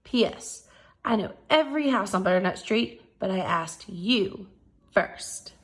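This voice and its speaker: A woman's voice: medium pitch, animated, unhurried, husky. A woman voicing a hopeful young male dog from a storybook, earnest, pleading and playful. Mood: eager, hopeful. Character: eager, hopeful young male stray dog, polite and cheeky.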